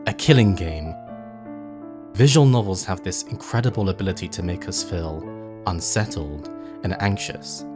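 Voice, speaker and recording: A man narrating a video essay in an explanatory, measured way with emphatic phrasing and casual asides. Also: deep voice. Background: background music.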